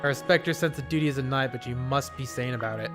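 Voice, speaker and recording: A man's voice: mid-range voice, quick pace, natural voice. A man voicing an earnest young male prince who speaks kindly and directly, with game music behind.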